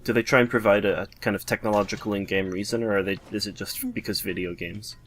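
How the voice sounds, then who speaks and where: Low-voiced man chatting about a game. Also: fairly even intonation, fast. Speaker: let's play co-commentator. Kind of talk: casual video game commentary.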